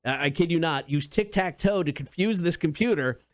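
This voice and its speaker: Higher-voiced enthusiastic man, dry podcast sound. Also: fairly quick, no echo. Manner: enthusiastic, slangy, emphatic. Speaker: podcast co-host. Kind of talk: casual chat and storytelling.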